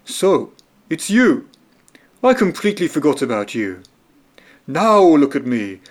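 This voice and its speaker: A man's voice: fairly high pitch, very animated, measured pace. A man doing a proud, scoffing lion. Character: proud, mocking lion, big male.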